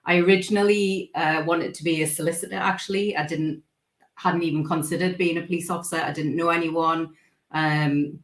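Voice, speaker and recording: Low-voiced woman in an echoey room. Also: a bit bright. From Sri Lanka. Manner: measured with frequent ums. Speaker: former police officer, panelist. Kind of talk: panel talk.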